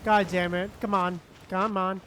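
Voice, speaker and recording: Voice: medium pitch, flat delivery, unhurried, fairly bright tone, slight background noise. A game streamer giving casual live commentary, repeating phrases and muttering with offhand swearing. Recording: game music behind the voice. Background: game music.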